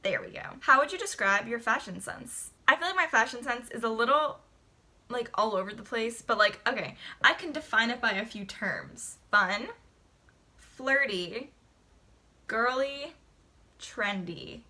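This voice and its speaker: Bright-voiced chatty young woman vlogger. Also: a little high, lively intonation, measured pace. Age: young adult. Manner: chatty, filler-heavy, rambling. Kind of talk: casual get-to-know-me vlog.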